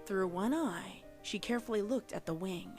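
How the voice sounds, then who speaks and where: A woman's voice: medium pitch, lively intonation, normal speed, darkish tone. A woman reading scripted dramatic fiction as a story narrator. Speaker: audiobook-style story narrator. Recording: music playing under the narration. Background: music.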